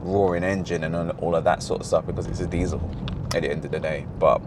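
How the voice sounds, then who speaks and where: A man hosting a car review vlog, talking casually from behind the wheel in a rambling, self-correcting way, his voice a little hoarse. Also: low-pitched, steady tone, fast. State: slightly hoarse, losing voice. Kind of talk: casual driving commentary. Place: inside a moving car. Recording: car road noise behind. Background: engine and road noise.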